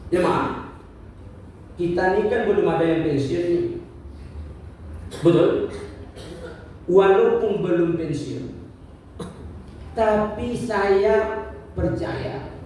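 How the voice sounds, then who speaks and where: A man's voice: sits high, animated, slow pace, pauses often, dark tone, slight background noise. A man in his fifties giving a religious lecture, asking rhetorical questions, repeating his points and joking lightly with the congregation. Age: 50s. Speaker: preacher. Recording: echoey hall from far off.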